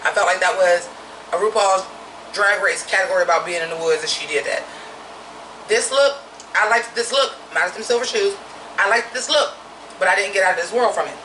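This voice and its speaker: A woman with a naturally deep voice giving a blunt, profane, opinionated drag review in a casual vlog. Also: fairly quick, pushed and bright, echoey room, recorded from a distance, slight background noise, low-quality recording.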